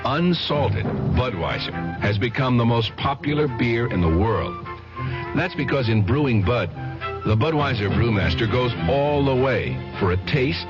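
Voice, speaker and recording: A man's voice: mid-range voice, animated, unhurried. A man reading a folksy scripted radio commercial as its announcer, on an old broadcast recording. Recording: dull radio recording, loud music behind.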